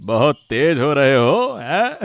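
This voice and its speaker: A man's voice: fairly high pitch, no room sound, heavily acted. A man voicing a smug, bullying village businessman in a cartoon moral story, self-important and condescending. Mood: gleeful, conspiring. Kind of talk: animated moral story dialogue in Hindi. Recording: music behind the voice.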